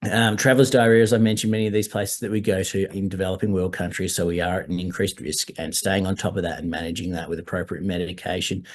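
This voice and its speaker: A hoarse man, a doctor explaining altitude medical issues in a measured way during a webinar over a video call. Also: low-pitched, flat delivery. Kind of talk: webinar presentation.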